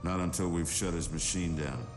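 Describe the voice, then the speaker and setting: An actor playing a grim, terse superhero detective in a video game, serious and matter-of-fact. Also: male voice, low-pitched, moderate pace, music in the background, lightly acted. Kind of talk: video game cutscene acting.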